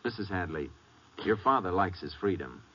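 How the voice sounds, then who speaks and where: An actor playing a calm, fair-minded Texas Ranger with an American accent and a measured, matter-of-fact, reassuring manner in a 1950s radio drama. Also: male voice, low-pitched. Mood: gentle, firm. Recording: muffled, noisy vintage radio recording.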